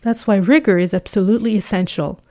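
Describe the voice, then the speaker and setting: A woman's voice: medium pitch, fast talker, a little mellow. Woman from Ukraine dubbing interview answers. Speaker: harvest worker at the pressing center. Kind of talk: voice-over dub of an interview.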